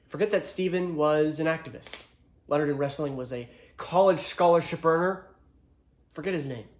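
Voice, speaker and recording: An actor plays a proud ex-military male attorney giving a dramatic courtroom speech. His voice sits a little higher than average. He speaks with grave conviction and deliberate rhetorical pauses, and the room echoes clearly around him. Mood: earnest, insistent. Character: earnest male attorney, proud ex-Marine, solemnly addressing jury.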